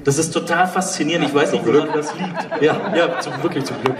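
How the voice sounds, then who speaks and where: A man's voice: on the high side, a little fast, a loud crowd. A man in his thirties talking casually as a guest on a stage panel. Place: on stage in a dark event hall. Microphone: handheld microphone, heard through room. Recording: echoey hall, distant from camera.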